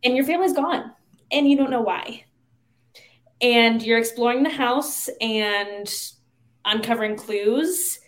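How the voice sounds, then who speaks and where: A woman's voice: fairly high pitch, measured pace. A woman in a casual podcast chat, explaining things in a slightly hesitant way. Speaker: podcast guest. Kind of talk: casual chat about video games.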